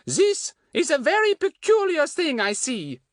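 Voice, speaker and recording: A man voicing a storybook schoolboy posing as a mysterious old Frenchwoman fortune-teller, slow and theatrical, with a French accent. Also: very high-pitched, huge pitch swings, dry recording, clean studio sound, pitched above the performer's own voice, heavily acted. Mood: ominous.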